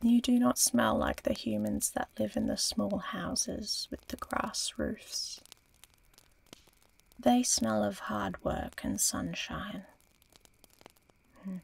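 An ancient female dragon played by a woman in a fantasy ASMR roleplay. She speaks very slowly and with a gravelly edge, breathy and proud, toying with her listener in quiet menace. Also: medium pitch, lively intonation, full character voice. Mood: disdainful, probing. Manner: slow, deliberate, breathy, teasing.

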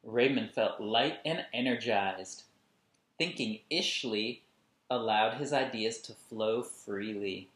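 A man in his thirties reading a picture book to young children expressively, with emphatic, deliberate delivery and explanatory asides. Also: fairly low pitch, fairly bright tone. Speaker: children's read-along host. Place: small indoor room at home. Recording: echoey room, camera at a distance.

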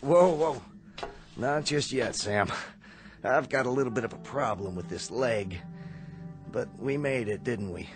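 A man's voice: mid-range voice, a little slow, pauses often, music in the background, natural voice. An actor in his thirties playing a confident, commanding male astronaut in a radio drama. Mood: wry, hurt. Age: 30s.

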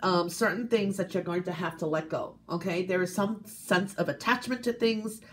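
A woman giving a weekly coffee cup reading in a gentle, casual way, often checking in with "okay?". She is congested from a cold and sniffles. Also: on the low side, moderate pace. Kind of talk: casual tarot-style reading to camera.

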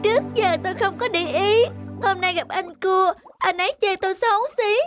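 A very high, plaintive cartoon shrimp voiced by a woman, timid and sure she is ugly. She speaks in a tearful, self-pitying way. Music plays clearly behind her. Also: normal speed, a bit bright. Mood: sad. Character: timid, self-conscious female river shrimp. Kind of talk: cartoon voice acting.

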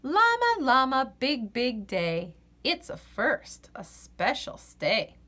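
A woman reading a children's picture book aloud in an expressive, sing-song way. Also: high-pitched, slow. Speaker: children's story reader.

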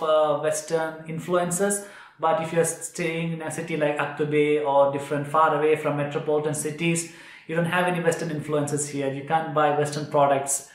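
A man in his thirties from India, with an Indian accent, talking to the camera in a kitchen with many fillers and restarts. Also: fairly high pitch. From India, Kerala. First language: Malayalam. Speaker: vlogger. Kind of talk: casual talk to camera. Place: indoors, small kitchen room. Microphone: camera at a short distance. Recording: echoey room.

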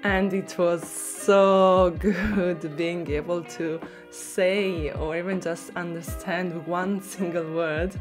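A woman's voice: on the low side, takes it slowly, hardly any pauses, music in the background. Chatty Italian-accented young woman. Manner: chatty, expressive, laughs easily. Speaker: language-learning vlogger. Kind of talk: casual vlog to camera. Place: small room indoors.

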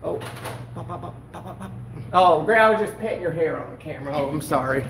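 A man's voice: on the high side, animated, fast, fairly bright tone, slight background noise, rough recording. A man putting on a meddling, syrupy old grandma persona for a livestream, calling everyone darling and speaking of himself as Grandma. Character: meddling sugary old grandma, elderly woman. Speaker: livestreamer filming public buildings. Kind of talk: trolling livestream banter. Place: indoor public building. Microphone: handheld phone camera.

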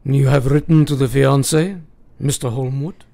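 A man's voice: mid-range voice, fairly even intonation, normal speed, vocal fry, dark tone, natural voice. A man playing an eccentric, commanding professor in a radio play, speaking in an earnest, formal and emphatic way. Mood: urgent. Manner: emphatic, formal, oddly phrased, counselling.

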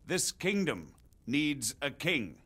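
A man's voice: on the high side, sing-song, takes it slowly, sharp tone, breathy edge, heavily acted. An actor playing a middle-aged nobleman who earnestly explains royal politics in formal game dialogue. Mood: grave. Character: grave middle-aged male nobleman, measured and persuasive. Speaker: visiting margrave explaining politics. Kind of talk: video game cutscene dialogue.

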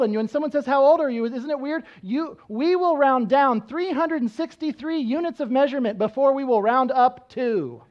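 A man's voice: very high-pitched, dull audio. A man in his thirties, a pastor giving a sermon on a church stage, teaching in a conversational way and often checking in with "right?". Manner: walks around the stage gesturing. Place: church stage with band instruments.

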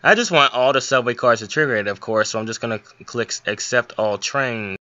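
A man's voice: medium pitch, brisk, sharp tone, no echo, dull audio. A young man giving an informal, step-by-step screen tutorial with a somewhat hesitant way of explaining. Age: young adult. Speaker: hobbyist game modder giving a tutorial.

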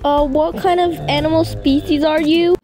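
A woman voicing a whiny, dramatic young girl in an amateur animated zombie roleplay. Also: high voice, moderate pace, soft background music, voice pushed up, lightly acted. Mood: amazed.

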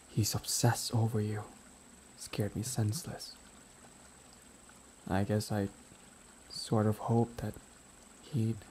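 A man playing a gentle, timid, grieving best friend in an intimate audio roleplay, speaking softly and haltingly as he confides. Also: lowish voice, natural voice. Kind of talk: boyfriend ASMR audio roleplay, intimate dramatic acting. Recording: noticeable background ambient noise.

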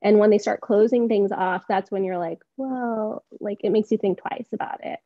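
A woman's voice: medium pitch, fairly even intonation, normal speed, no room sound. A woman in her thirties speaking casually as a panelist, recorded through dull video call audio. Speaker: expert panelist, small business founder. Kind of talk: casual, conversational panel talk. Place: indoor room on a video call.

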